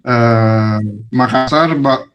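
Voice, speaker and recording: A young man with a non-Jakarta regional Indonesian accent, telling stories as a podcast guest in a relaxed, rambling way. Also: mid-range voice, extremely slow. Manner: laid-back, rambling with fillers and laughs. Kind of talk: casual storytelling chat.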